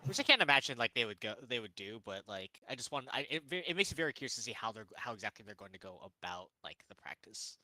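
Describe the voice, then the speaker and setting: A man's voice: medium pitch, very animated, fast, few pauses, bright, forceful tone, dry recording, poor audio. A man co-hosting a podcast, rambling through his thoughts with constant "like" fillers. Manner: rambling, heavy use of "like". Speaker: podcast co-host. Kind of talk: casual chat reviewing anime.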